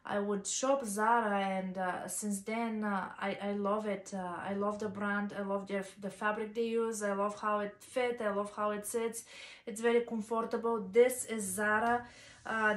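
A woman's voice: mid-range voice, reverberant. A woman in her thirties from Bulgaria, with a Bulgarian accent, chatting casually in a home fashion vlog, full of ums and uhs. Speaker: fashion vlogger. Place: small living room at home. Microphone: camera's built-in mic, a few feet away.

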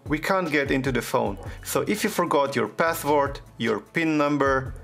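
A man's voice: medium pitch, animated, normal speed, vocal fry, a bit bright, soft background music. A man hosting a phone repair tutorial, giving plain step-by-step instructions with frequent hesitations. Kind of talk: step-by-step how-to narration.

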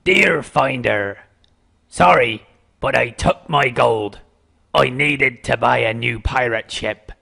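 A man playing a gruff, gravelly pirate captain reading a letter in a children's cartoon story. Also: medium pitch, slow, bright, forceful tone, exaggerated voice. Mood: gruff apology.

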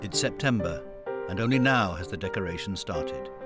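British male narrator with lively, varied pitch. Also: moderate pace. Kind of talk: scripted documentary narration. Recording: music under the voice. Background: background music.

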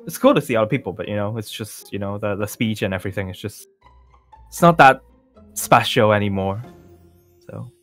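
A man playing a laid-back, modest music star in an improvised video game roleplay, talking in halting, understated phrases. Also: fairly low pitch, fairly bright tone, dry recording, natural voice. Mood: modest, casual.

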